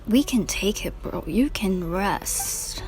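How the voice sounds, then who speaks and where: Slow-paced, slangy young woman gaming. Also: mid-range voice, animated, in a whisper, over music. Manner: reactive, slangy. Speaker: video game streamer. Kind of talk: casual reaction commentary while playing.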